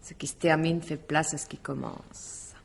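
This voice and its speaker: An actress playing a divorced woman, gracious and evasive, in an expressive radio drama style. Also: low voice, moderate pace, gravelly. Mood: reflective, evasive. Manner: expressive exclamations, coy deflection. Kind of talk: 1950s French radio drama acting.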